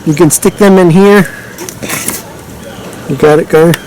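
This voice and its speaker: Casting: male product demonstrator, slightly high voice, informal and off the cuff, some background noise. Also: fairly even intonation, unhurried, darkish tone, poor audio. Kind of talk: casual unscripted product demonstration.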